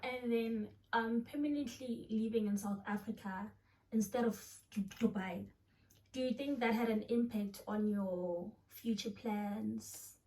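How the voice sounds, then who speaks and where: A woman's voice: a little high, a little slow, dark tone. A woman interviewer asks polite, direct questions in short prompts. She sits a little away from the microphone, so her voice sounds somewhat distant.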